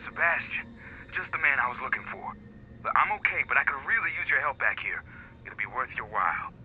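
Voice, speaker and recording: A man's voice: mid-range voice, animated, brisk. An actor playing a sly, coaxing male ally who calls over a radio. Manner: coaxing, ingratiating. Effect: radio transmission. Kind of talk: video game voice acting. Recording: muffled radio line, faint hiss.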